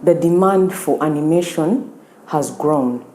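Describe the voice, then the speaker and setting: Low, mellow woman in echoey room. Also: a little slow. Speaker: training staff member. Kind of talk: interview explanation. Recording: echoey room, faint background noise.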